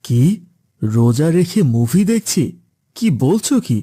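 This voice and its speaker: A man's voice: mid-range voice, sing-song, a little slow, dark tone. Man voicing a stern, lecturing cartoon family man. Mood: stern, admonishing. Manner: lecturing, admonishing. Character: stern preachy father, later gruff scolding gambler uncle. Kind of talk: Islamic children's cartoon dubbing.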